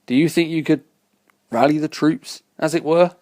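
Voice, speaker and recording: A man's voice: a little high, normal speed, darkish tone, voice pushed up, lightly acted. A man reading aloud who voices a determined, battle-worn starship captain speaking earnestly and resolutely.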